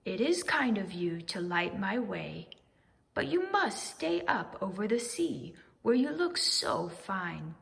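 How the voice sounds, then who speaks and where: An earnest male owl from a children's storybook, acted out by a woman. He is friendly and simple-hearted, speaking deliberately with lively, swinging pitch and a gravelly creak. The sound rings with long reverb. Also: slight background noise, exaggerated voice. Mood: gently pleading.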